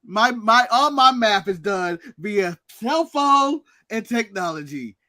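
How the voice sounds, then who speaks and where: Lively, bright-voiced joking man. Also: high-pitched, slow pace, no echo, clean studio sound. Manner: profane, joking, animated. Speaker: podcast co-host. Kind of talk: casual podcast banter.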